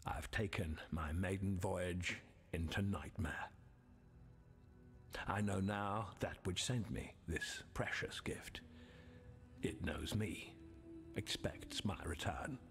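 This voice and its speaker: A man playing an obsessed scholarly sorcerer in a video game, speaking in a grandiose, deliberate, brooding manner. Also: fairly bright tone, faint music behind, heavily acted. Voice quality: low, gravelly. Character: obsessed scholarly male thaumaturgist reading his dark journal. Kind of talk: theatrical dramatic game monologue.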